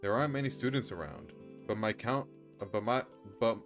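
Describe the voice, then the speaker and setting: A man's voice: mid-range voice, a little fast, faint music behind. A man streaming a game, switching between reading story narration aloud and commenting casually. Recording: clear background noise, rainy ambience. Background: noise, rain during a stream.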